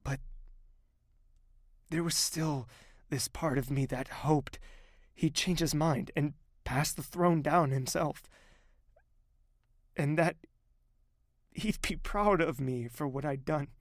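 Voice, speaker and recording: Young king for audio drama: grieving and introspective, slow gravelly delivery, close dry sound. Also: fairly high pitch, dry recording. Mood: grieving, subdued. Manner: hushed, weary, measured delivery with sighs. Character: grieving young prince newly become king, weary and introspective. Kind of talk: dramatic first-person narration and dialogue in audio drama.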